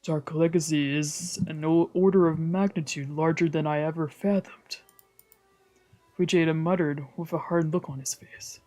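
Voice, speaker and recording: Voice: medium pitch, steady tone, normal speed, darkish tone. A streamer reading a visual novel aloud as dramatic narration, with casual asides and the occasional stumble. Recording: music behind the voice. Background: background music.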